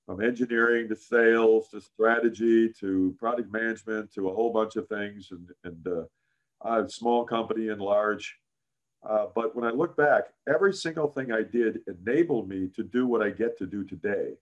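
Deep-voiced older man telling stories. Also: normal speed, lo-fi sound. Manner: frequent "frankly" and "uh", animated anecdotes. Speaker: interview guest, business founder. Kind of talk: casual interview, storytelling.

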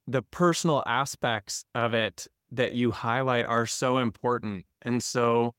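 A man's voice: medium pitch, no room sound, clean studio sound. A man hosting a podcast interview, reading the introduction and asking questions in an encouraging way. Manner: warm, encouraging, reads intro smoothly. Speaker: podcast host.

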